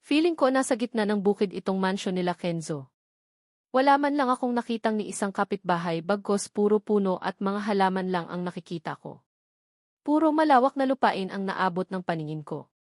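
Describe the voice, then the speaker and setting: A woman's voice: medium pitch, animated, a little fast, no echo, clean studio sound. A woman with a Filipino accent reading a first-person novel aloud as a scripted narration. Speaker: audiobook narrator.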